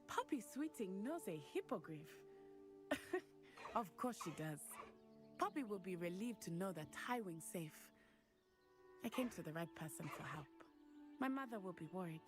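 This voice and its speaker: A woman's voice: fairly low pitch, very animated, normal speed, over music. A woman playing an earnest, determined young character in a scripted video game. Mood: warm, purposeful.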